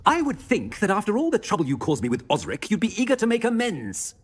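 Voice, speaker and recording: An actor playing an imperious, irritable headmaster with a haughty, commanding manner. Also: male voice, high voice, huge pitch swings, fast talker, slightly rough, pitched above the performer's own voice, exaggerated voice.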